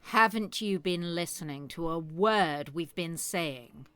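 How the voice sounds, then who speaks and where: Elderly woman, suspicious, outspoken, emphatic, lowish voice, close dry recording, audio comedy drama. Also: slow pace, a bit bright, smooth, no echo. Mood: sharp. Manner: blunt, sceptical, emphatic. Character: blunt, suspicious, grumpy elderly female care-home resident.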